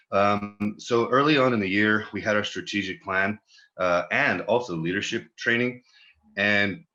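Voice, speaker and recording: A man's voice: fairly low pitch, bright, forceful tone, muffled recording. A man leading a virtual meeting, speaking casually in the manner of a sports announcer as he thanks people and reviews the year.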